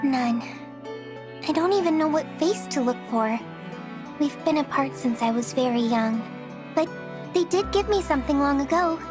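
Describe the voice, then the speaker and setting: A woman's voice: sits high, animated, moderate pace, long pauses, over music. A woman voicing a wistful, sincere young wandering girl in a video game cutscene. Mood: guarded, wistful. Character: soft-hearted, wistful mysterious wandering girl.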